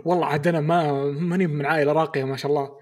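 A man's voice: fairly high pitch, steady tone. A young man co-hosting a podcast, joking and teasing in casual chat. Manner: joking, fast-talking, teasing. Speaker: podcast co-host.

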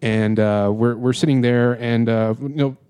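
A man's voice: mid-range voice, steady tone, a little slow, warm tone, clean tone, no echo, clean studio sound. A man hosting a casual podcast, telling a story in a rambling way with many fillers and restarts. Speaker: podcast host.

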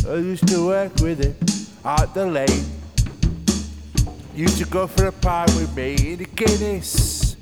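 A man chatting casually in short, simple, repetitive phrases. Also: high voice. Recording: loud music with drums behind.

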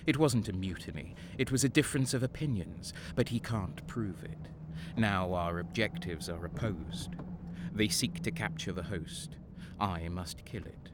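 A man's voice: lowish voice, noisy background, lightly acted. A man playing a bitter traitor guardsman who narrates his own story in a grim, hard voice in a fan audio drama. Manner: grim inner narration, heated in arguments. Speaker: narrator and lead character.

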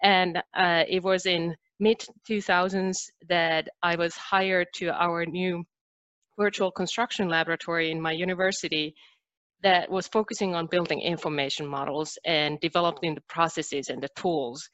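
A middle-aged woman from Finland with a Finnish accent, telling her career story as an interview guest with many pauses. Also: fairly low pitch, fairly even intonation, a bit bright, no room sound, dull audio. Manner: earnest, with frequent "uh" and "um" pauses. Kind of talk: conversational interview.

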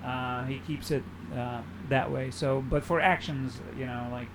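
A man's voice: medium pitch, steady tone, measured pace, noisy background. A man from Egypt, a guest actor speaking hesitantly in casual interview chat, with many ums and restarts. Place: outdoors on a busy street.

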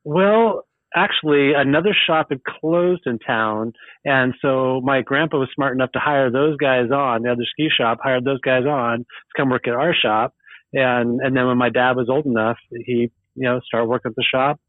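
A man's voice: medium pitch, steady tone, normal speed, dry recording. Laid-back man on a tinny line. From Eugene, Oregon, USA. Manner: easygoing, laughs often, rambling storyteller. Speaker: guest. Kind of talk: casual podcast chat. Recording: tinny phone-like remote line.

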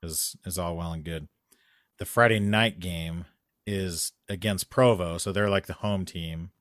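A man in casual chat, telling a long story that gets louder and more animated as it goes. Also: low-pitched, measured pace, dry recording, clean studio sound. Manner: animated storytelling, rising loud when excited.

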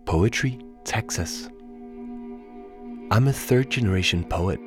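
Low-voiced Danish-born man narrating. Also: slow, music in the background. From Denmark. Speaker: radio documentary maker. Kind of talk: scripted documentary narration.

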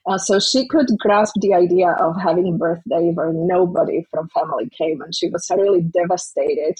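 A woman's voice: fairly low pitch, moderate pace, darkish tone, slightly rough, dry recording, poor audio. A woman in her forties from Slovakia with a Slovak accent, speaking earnestly as an interview guest with many hesitations. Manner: earnest, heartfelt, with frequent hesitations. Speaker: guest, organization president. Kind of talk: podcast interview.

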